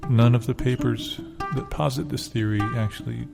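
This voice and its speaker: A man playing a mild, hesitant, politely skeptical character in deadpan improv comedy, with a gravelly everyday voice. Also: medium pitch, over loud music, close to an everyday voice. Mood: earnest musing. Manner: halting, mild, tentative. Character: hesitant, politely skeptical male customer or musing music pundit.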